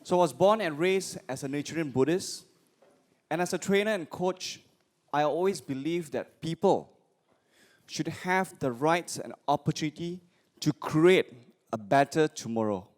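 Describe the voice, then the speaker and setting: A man's voice: on the high side, a little slow. A man from Malaysia, a trainer and coach, introducing himself confidently. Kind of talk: personal introduction at a conference.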